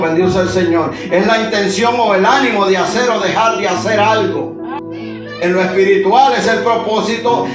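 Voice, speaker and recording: A man from Honduras who is a pastor, preaching a Pentecostal sermon in a church and often breaking in with short cries of praise. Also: sits high. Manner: preaching with frequent interjections of praise. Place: church sanctuary. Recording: echoey hall recording from far off, music behind.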